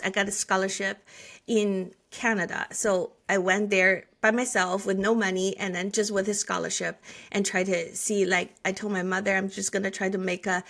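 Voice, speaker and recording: A woman's voice: medium pitch, pushed and bright. A woman in her forties from China with a Chinese accent, a guest telling her life story in an interview, earnest and full of restarts. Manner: frequent restarts and filler words, earnest storytelling. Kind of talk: conversational interview.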